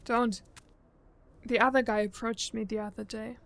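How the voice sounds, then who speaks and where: A woman plays a young girl-group singer in an audio drama. Her voice is very high, and she comes across as anxious and earnest. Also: fairly even intonation, normal speed, vocal fry, a bit bright. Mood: nervous.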